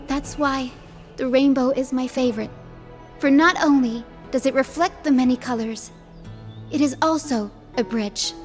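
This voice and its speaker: A woman's voice: on the high side, darkish tone. A woman voicing an earnest, hopeful young descendant of sky folk in a video game cutscene, with a solemn, poetic storytelling manner. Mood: wistful, hopeful. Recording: background music under the voice.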